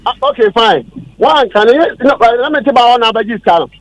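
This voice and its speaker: A man's voice: extremely high pitch, animated, fast, lo-fi sound, a little hiss or hum. A Ghanaian-accented man calling in to a radio show, arguing his side insistently and repeating himself. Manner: insistent, repetitive. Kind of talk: heated argument.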